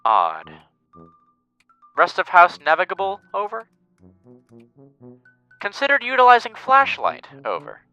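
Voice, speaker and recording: A man's voice: high voice, wildly swooping pitch, unhurried, long pauses, bright, forceful tone, clear, resonant tone, highs cut off, soft background music, full character voice. An actor playing an earnest, paranoid boy acting as military mission control, speaking in clipped radio talk in a fan dub. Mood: puzzled, exasperated.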